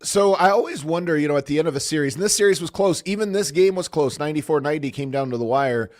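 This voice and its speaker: A man's voice: on the high side, fast, darkish tone. A man hosting a sports podcast in casual chat, his voice strained and going from coaching all weekend, asking questions with easy curiosity. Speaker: podcast host.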